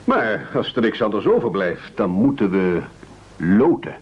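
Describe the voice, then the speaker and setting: An actor playing a formal, commanding castaway gentleman in an absurdist radio play, measured and over-polite. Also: on the low side, sing-song, slightly rough, exaggerated voice. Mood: formal, businesslike. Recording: dull lo-fi old radio recording.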